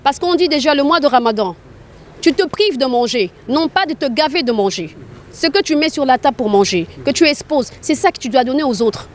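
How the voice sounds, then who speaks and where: A woman's voice: high voice, animated, brisk. A woman from Arabia speaking spontaneously in an interview as a charity volunteer, earnest and repeating phrases for emphasis. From grew up in Arabia.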